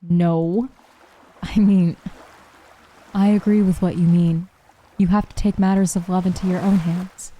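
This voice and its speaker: A woman's voice: lowish voice, flat delivery, unhurried, long pauses, dark tone. A woman reading an earnest, outspoken young woman.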